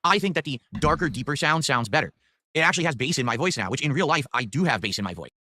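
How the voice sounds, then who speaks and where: Fast-talking man with a bright, dry voice. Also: fairly high pitch, expressive. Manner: rambling explanation with many fillers. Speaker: streamer being reacted to. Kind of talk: casual stream monologue. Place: home room with window open and fans running. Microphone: studio desk microphone.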